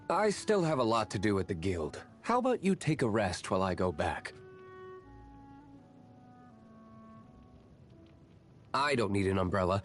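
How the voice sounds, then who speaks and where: An actor playing a brooding, aloof young nobleman vigilante in a video game, with a terse, guarded, measured manner. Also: fairly low pitch. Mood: cool, grave. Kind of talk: scripted video game character lines.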